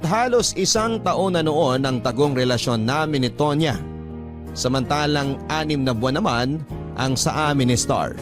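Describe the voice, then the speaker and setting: A man's voice: medium pitch, natural voice. A man playing a remorseful young lover, narrating his secrets in a scripted first-person radio drama. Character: remorseful young man confessing a double love life. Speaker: letter-sender narrator.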